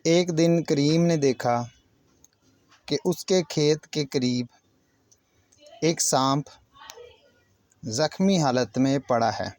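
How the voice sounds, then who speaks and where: Higher-pitched man telling a children's story. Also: long pauses, a little mellow. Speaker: children's storyteller and channel host. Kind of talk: narrated moral story for children with teacher-like asides.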